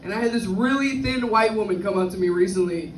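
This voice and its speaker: Woman, Bronx accent, deep cracked voice, brash crude stand-up, echoey club, far from the mic, audience laughter. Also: fast, slight background noise. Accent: Bronx New York. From South Bronx, New York. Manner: brash, crude, self-mocking punchlines. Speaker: stand-up comedian. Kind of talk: stand-up comedy set. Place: comedy club stage.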